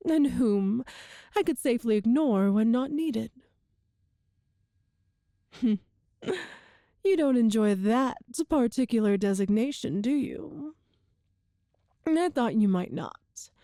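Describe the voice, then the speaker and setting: A woman playing a cruel, smug, seductive empress in a scripted ASMR roleplay, speaking formally and measuredly with lingering pauses. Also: on the high side, dark tone, dry recording, studio quality. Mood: cold, calculating, then teasing. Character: cruel all-powerful evil empress, coolly seductive and smug.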